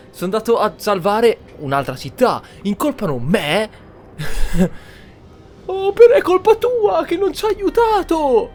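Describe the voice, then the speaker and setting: An Italian man with a fairly high, very lively voice commentates casually on a game. He talks colloquially and incredulously, swearing freely, with some music behind him. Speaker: gaming streamer. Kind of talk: casual roleplay commentary over a video game.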